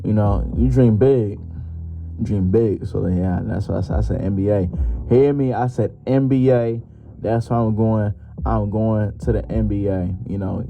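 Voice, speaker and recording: Young male podcaster, 21, low voice, rambling slangy solo chat, muffled recording over loud music. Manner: loose, joking, slangy with lots of fillers. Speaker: podcast host. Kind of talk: casual solo podcast monologue.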